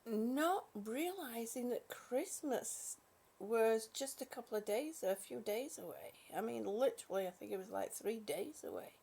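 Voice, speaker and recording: A British woman hosting a casual live chat from her bed, greeting viewers warmly as honey and sweetie and sometimes dropping to a soft whisper. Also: a little high, lively intonation, creaky, breathy edge. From British, born in Singapore. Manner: slow, soft, trailing off into whispers. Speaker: livestream host chatting with viewers. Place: at home in bed.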